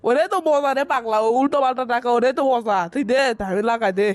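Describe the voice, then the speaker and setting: A man's voice: very high voice, quick pace, no room sound. A man voicing a brash, cocky young nephew in a comic cartoon, with a northern Bangladeshi accent, curt in his replies. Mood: cheeky. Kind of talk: comic cartoon dialogue in rural dialect.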